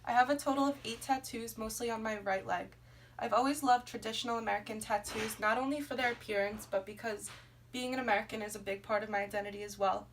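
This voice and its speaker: A woman's voice: a little high, steady tone, fast. A twenty-year-old woman from Brooklyn, reading a prepared class presentation about herself. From Brooklyn, New York. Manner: reads a prepared script steadily, listing items. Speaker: student presenting a class assignment. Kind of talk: scripted personal presentation. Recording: noisy, somewhat distant recording.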